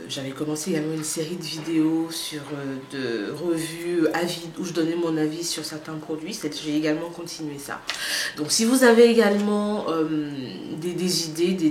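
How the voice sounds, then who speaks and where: A woman with a slight cold gives a casual, chatty vlog update and talks straight to her viewers, with many hesitant pauses. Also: sits low, roomy sound, a little hiss or hum. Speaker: vlogger.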